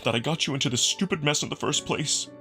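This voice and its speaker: A man voicing a proud male wolf prince who speaks in a halting, emotional, apologetic way, distraught and earnest. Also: a little high, music in the background, voice pushed up, close to an everyday voice. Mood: remorseful.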